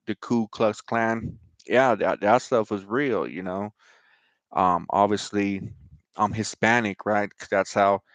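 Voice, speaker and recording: A middle-aged American man with a low, smooth voice, recorded close and dry. He hosts his own show, moving between scripture reading and informal personal talk. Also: dry recording. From Denver, Colorado, United States. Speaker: show host and scripture reader.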